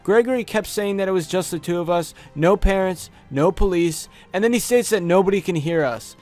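A man with a noticeably high voice narrates scripted horror stories as a video host. He speaks close to the microphone. Faint music plays behind him. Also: fairly quick, a little mellow. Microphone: close studio USB microphone.

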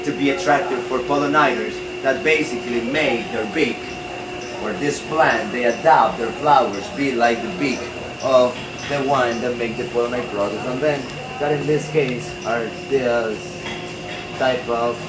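Nature guide quizzing a tour group outdoors. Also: male voice, mid-range voice, unhurried. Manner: quizzes the group, explains patiently. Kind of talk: guided walk explanation. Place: outdoors in tropical garden. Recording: roomy outdoor sound with background noise.

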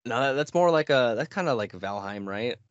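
A man's voice: medium pitch, expressive, brisk, dry recording, dull audio. A man in his twenties co-hosting a gaming podcast in a casual, laid-back way. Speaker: podcast co-host.

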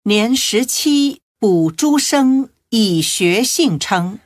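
A female narrator recites classical religious text very slowly, at a middle pitch with lively intonation. Her delivery is solemn, measured and expressive, recorded close and dry. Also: dry recording.

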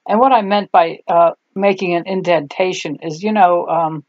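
A woman's voice: fairly low pitch, normal speed, a little mellow, no room sound. Older lady rambling homey while she makes clay stuff, kinda tired, dry dull home sound. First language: German. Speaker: craft tutorial host. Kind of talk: chatty craft tutorial. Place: home craft table, indoors.

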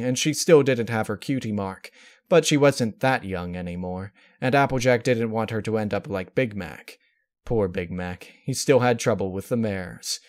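A man reading scripted fan fiction as its narrator. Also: mid-range voice.

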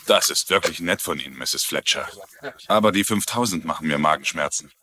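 A man's voice: a bass voice, fairly quick, bright, forceful tone, a little hiss or hum. An actor playing a humble, polite, resigned journeyman boxer in a TV crime drama dub. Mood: earnest, resigned. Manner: polite, earnest. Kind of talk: German-dubbed TV crime drama.

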